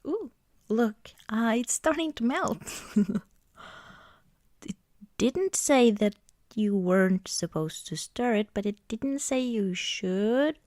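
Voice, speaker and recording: Slow, soft-spoken woman in intimate ASMR roleplay. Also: on the high side, expressive, dry recording, clean studio sound. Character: affectionate, cozy girlfriend baking at Christmas. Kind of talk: ASMR girlfriend roleplay, intimate casual chat.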